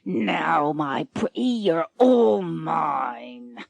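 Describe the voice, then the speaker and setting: A woman reads as a leering one-eyed pirate captain, a man who drags out his threats slowly and loudly. His voice sits a little higher than her usual narration. The recording is dry and close. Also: animated, vocal fry, husky, no room sound, exaggerated voice. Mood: menacing.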